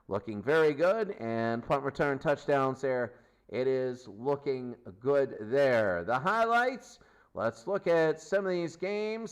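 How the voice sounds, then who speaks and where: A man's voice: fairly high pitch, clear, resonant tone. A man giving an unscripted sports stats recap as host, listing figures in short, clipped phrases. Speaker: sports show host and commentator.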